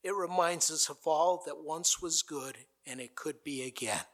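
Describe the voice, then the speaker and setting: Bright, high-voiced male pastor preaching. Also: moderate pace. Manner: dramatic, builds to a stirring recited speech. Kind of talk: sermon. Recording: played from an archive recording.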